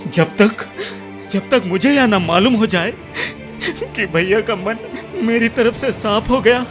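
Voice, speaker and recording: A man playing a remorseful, tearful younger brother in a radio drama, pleading haltingly and repeating his words. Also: high voice. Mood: agitated, pleading. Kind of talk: Hindi radio drama acting. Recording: music under the voice.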